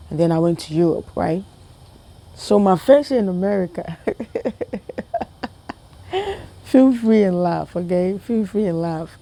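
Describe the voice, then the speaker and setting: A young woman from Ghana telling a funny personal story to her viewers in a casual, animated way, often repeating herself and laughing. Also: fairly low pitch. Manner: animated, repetitive, laughing, talks to viewers as family. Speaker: vlogger.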